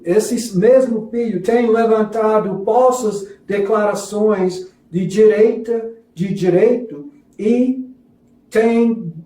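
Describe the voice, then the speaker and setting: Man, foreign accent, religious teacher, halting and apologetic delivery, very slow pace, roomy distant recording. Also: high voice, mellow. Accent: foreign, non-native Portuguese. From foreign to Brazil, a self-described gringo. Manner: halting, hesitant in Portuguese, apologetic. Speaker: religious teacher presenting a course. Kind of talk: lesson with storytelling. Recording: echoey room, distant from mic.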